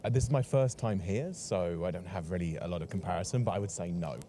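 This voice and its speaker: A man's voice: sits low, fast, mellow, a little hiss or hum. A man from Surrey with a southern English accent, answering casually as an interviewed tourist. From England, Surrey.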